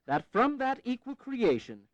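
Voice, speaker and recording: A man's voice: very high-pitched, big pitch swings, a little slow, no room sound, muffled recording, heavily acted. An actor playing an articulate founding father in a historical audio drama, declaiming formally. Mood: solemn.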